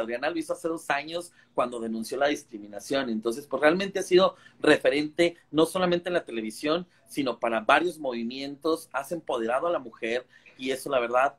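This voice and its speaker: A man's voice: mid-range voice, fairly quick, a bit bright. A man, speaking earnestly and a little preachily as a guest on a phone video call. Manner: earnest, preachy, many fillers and restarts. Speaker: guest on a live stream. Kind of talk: casual video call chat.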